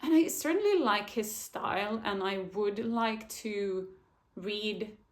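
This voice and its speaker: A woman's voice: medium pitch, lively intonation, takes it slowly. A woman chatting casually to camera about her reading year, often hesitating and restarting her sentences. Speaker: book vlogger. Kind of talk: casual book talk to camera.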